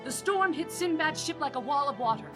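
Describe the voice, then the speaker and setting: An actress playing a female storyteller, narrating adventure tales for a radio play in a measured, theatrical way. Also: high voice, expressive, over music. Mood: dramatic.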